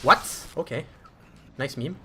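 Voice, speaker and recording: A man's voice: medium pitch, slow, sharp tone, slight background noise. A man with a French accent commentating live over his own speedrun in a chatty, self-deprecating way.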